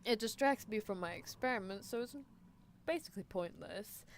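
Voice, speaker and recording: A woman's voice: mid-range voice, expressive, normal speed, vocal fry, slight background noise. A woman playing a playful, bossy British female scientist in an ASMR roleplay, teasing and coaxing with clinical asides. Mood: dry, coaxing. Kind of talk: ASMR roleplay livestream in character.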